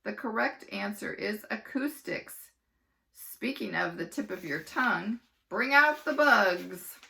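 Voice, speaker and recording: A woman reading aloud and voicing a loud, excitable male TV game show host with booming showman patter. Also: unhurried, gravelly, heavily acted. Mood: mock sympathetic.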